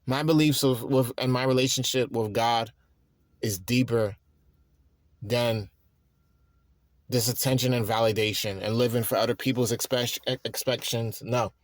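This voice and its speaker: A man in his thirties, tired and stressed, talking in a rambling, halting way with frequent restarts in a reflective personal monologue. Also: mid-range voice, steady tone, dry recording, studio quality. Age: 30s. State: tired and worn out. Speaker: vlogger.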